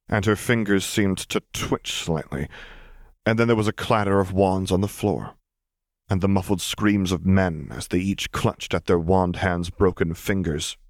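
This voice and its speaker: A man reading scripted fiction as an audiobook narrator, in a measured, dramatic storytelling manner. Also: deep voice, steady tone, no echo, clean studio sound.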